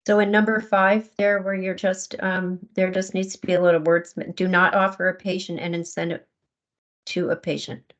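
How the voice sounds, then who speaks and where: A woman chairing a committee meeting on a video call, brisk and correcting herself as she reads. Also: fairly low pitch, flat delivery, a bit bright, muffled recording.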